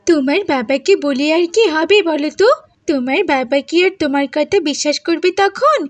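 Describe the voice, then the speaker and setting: Woman acting as scheming cartoon auntie, high voice, scolding, insinuating, dry recording. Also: expressive, moderate pace, no room sound. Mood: scolding, warning. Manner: insinuating, scolding rhetorical questions. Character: meddling, scheming aunt stirring up distrust. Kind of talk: animated moral story voice acting.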